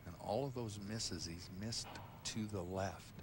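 A man's voice: fairly low pitch, measured pace. A man giving explanatory, technical colour commentary during a live bowling match. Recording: live crowd noise behind. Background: audience and hall noise.

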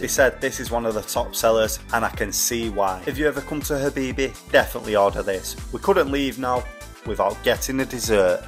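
A man reviews a restaurant in an enthusiastic, slangy vlog voice. His pitch sits in a middle range and his talk is blunt and chatty. Faint music plays behind him. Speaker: food reviewer. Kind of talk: food vlog voice-over and on-camera chat. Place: restaurant interior.

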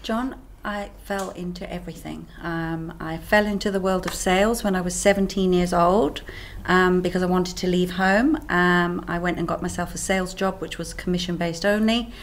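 A candid South African woman in her fifties talks through her career in an easy, chatty manner. Her voice sits on the low side, with a faint hiss behind it. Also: steady tone, moderate pace, few pauses. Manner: candid, chatty, laughs easily. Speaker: sales trainer guest. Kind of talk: casual interview answers.

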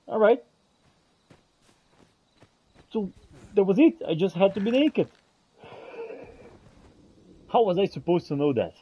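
A man's voice: high voice, big pitch swings, normal speed, dull audio, slight background noise. A man giving theatrical in-character gameplay commentary, reacting aloud as he role-plays. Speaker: gamer role-playing aloud.

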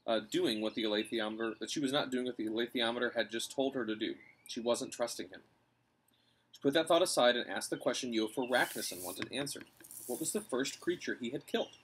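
A man's voice: medium pitch, a bit bright, breathy edge, rough recording. An American man in his thirties narrating a children's novel, reading aloud on a porch. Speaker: storybook reader. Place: covered house porch, outdoors.

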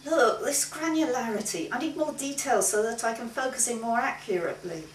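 A woman voicing an impatient, teasing male time-travelling painter in a story reading, animated and argumentative. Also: a little high, a little fast, voice pushed up, close to an everyday voice. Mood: insistent. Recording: roomy, distant mic, faint hiss.

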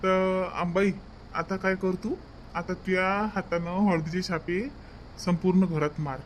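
High-pitched village priest played by a man. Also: fairly even intonation, unhurried, a little hiss or hum. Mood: instructing, brisk. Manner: instructing, repeats his directions. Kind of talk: comedy cartoon dialogue.

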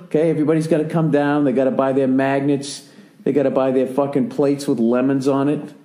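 A profane, rambling American man in his forties hosts a podcast, swearing through a long story. His voice sits dark and mellow. The recording sounds roomy and echoey. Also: mid-range voice, level tone, brisk. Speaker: podcast host. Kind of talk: casual podcast chat.

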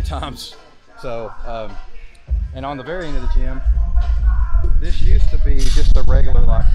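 Loud music fills a big gym as a middle-aged man talks. His pitch is average, and he explains the place informally while walking, heard on a handheld camera a step or two away. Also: low-quality recording. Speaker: gym member giving a tour. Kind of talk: casual walking tour commentary. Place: large open gym, indoors.

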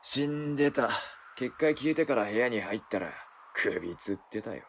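A man's voice: mid-range voice, expressive, moderate pace, vocal fry, a little hiss or hum. A man playing a cool, blunt killer in an audio drama, with a casual, curt delivery. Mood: cool, matter-of-fact. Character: cool, blunt male werewolf, a killer's partner. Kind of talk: Japanese audio drama acting.

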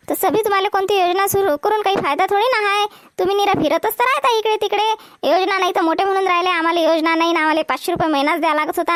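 A woman's voice: very high-pitched, a bit bright, no echo. A woman voicing a cheeky, boastful young village woman in a comedy cartoon, arguing in a Vidarbha Marathi accent. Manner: argumentative, self-satisfied.

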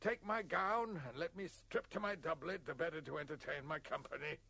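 A man's voice: on the high side, a little slow, gravelly, fairly bright tone, no room sound, exaggerated voice. A man playing an ageing giant nobleman in a storybook reading, theatrical and declamatory, given to melodramatic exclamation. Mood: boisterous joy. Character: ageing giant nobleman, bellowing melodramatically between grief and glee.

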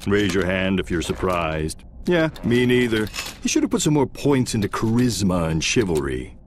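An actor playing a wry, mocking storybook narrator who gives droll, theatrical asides in a scripted video game. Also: male voice, on the low side, sing-song, normal speed, background noise. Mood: sarcastic.

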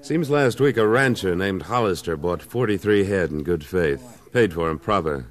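A man's voice: low voice, expressive, moderate pace. An actor playing a tough, laconic American cattleman in an old-time radio western, speaking in a terse, self-assured way. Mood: cool, confident. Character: tough, laconic cattleman gunslinger, a former cavalryman. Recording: old radio recording, faint music.

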